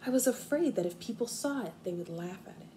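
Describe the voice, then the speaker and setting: A young woman, a kindergarten teacher, reading aloud expressively and explaining things simply and deliberately to small children. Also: on the high side, animated, warm tone. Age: young adult. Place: living room at home, indoors. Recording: faint room noise, home video.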